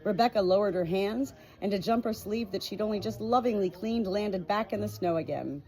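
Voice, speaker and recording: A woman with a slightly husky voice narrating a storybook from a script. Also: mid-range voice, fairly quick, darkish tone, soft background music. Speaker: audiobook reader.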